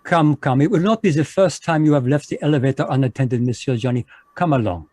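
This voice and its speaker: A man's voice: medium pitch, a little fast, a little mellow, no room sound, close to an everyday voice. A man playing a fussy Belgian detective with a French-flavored accent and formal phrasing, in a live radio play over video call. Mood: commanding. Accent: French-flavored English, put on. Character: fussy Belgian male detective, precise, commanding.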